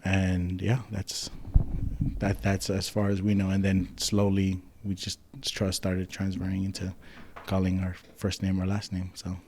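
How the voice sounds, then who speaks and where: A podcast guest from Hawaii tells his family's story in an easygoing, meandering way, full of pauses and "so"s. His low voice stays level and keeps the same pitch throughout. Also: completely flat delivery, darkish tone, a little hiss or hum. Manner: easygoing, rambling, with frequent um and so. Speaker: podcast guest, clothing company owner. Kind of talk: casual storytelling about family history.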